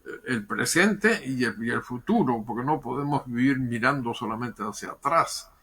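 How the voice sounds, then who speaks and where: An older man, a historian and diplomat, giving measured, thoughtful interview answers with pauses and repeated words. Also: mid-range voice, lively intonation, bright, forceful tone, breathy edge.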